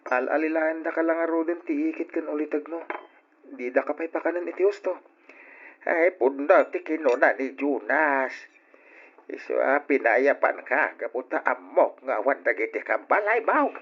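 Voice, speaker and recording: A man playing a kindly, protective old grandfather, exclamatory and drawn-out, in old radio drama style. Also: fairly high pitch, breathy edge. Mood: kindly, concerned. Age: older. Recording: dull lo-fi old radio recording.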